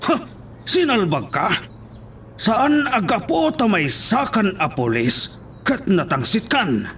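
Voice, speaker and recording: A man's voice: sits high, big pitch swings, slow, a bit bright, a little hiss or hum, full character voice. A man playing an angry, bullying town mayor in an Ilocano audio drama. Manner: bullying, threatening. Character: angry, domineering town mayor, a man, demanding his child.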